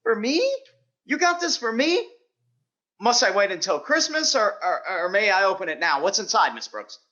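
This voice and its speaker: A man's voice: high voice, animated, normal speed, pushed and bright, dull audio. An actor playing a naive, earnest, bashful male biology teacher in 1940s radio sitcom style, hesitant and literal-minded. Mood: delighted.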